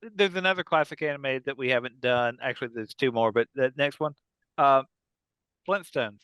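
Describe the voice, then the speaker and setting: A man's voice: medium pitch, moderate pace, a bit bright, clean tone, no room sound. A man hosting a podcast, chatting in a casual, joking way with the other people on the show. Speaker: podcast host. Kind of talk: casual joking panel chat.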